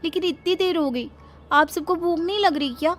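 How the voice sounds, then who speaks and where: A woman voicing an outspoken, indignant young daughter-in-law from the city in an animated moral story. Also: very high-pitched, normal speed, soft background music, voice pushed up. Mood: puzzled. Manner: indignant, argumentative.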